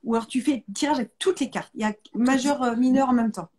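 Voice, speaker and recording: A woman's voice: a little high, fast talker, a little mellow. A woman hosting a casual live chat, talking informally and repeating words for emphasis. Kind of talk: casual conversational interview.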